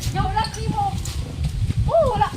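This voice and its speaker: A Filipino woman with a Bisaya accent calling out loudly and insistently in agitated, spontaneous phone talk. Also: extremely high pitch, moderate pace, heavy background noise. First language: Cebuano. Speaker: target of a phone prank.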